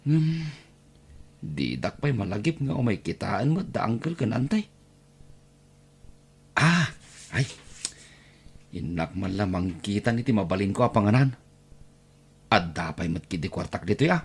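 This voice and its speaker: A man plays a meek nephew in an audio drama, with an Ilocano accent. He stammers and hesitates through slow speech full of little interjections. His pitch swings widely as he goes. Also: sing-song, slightly rough, full character voice. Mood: hesitant, muttering. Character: Bentong, hesitant, meek nephew receiving gifts from relatives. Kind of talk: Filipino audio drama acting.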